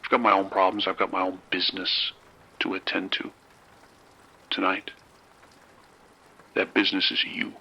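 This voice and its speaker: An actor playing a cold, menacing crime boss in an audio drama, with a rough, husky voice and a measured, blunt, threatening manner. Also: male voice, sits low, monotone, sharp tone, full character voice, low-quality recording. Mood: cold menace.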